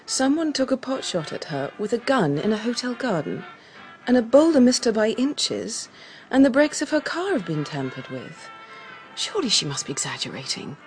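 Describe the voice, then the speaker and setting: A polite young Englishwoman in a radio play. She is earnest and a little nervous, with a fairly high voice and very mobile intonation. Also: sing-song, moderate pace, darkish tone, faint music behind. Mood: earnest, concerned. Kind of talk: radio mystery drama.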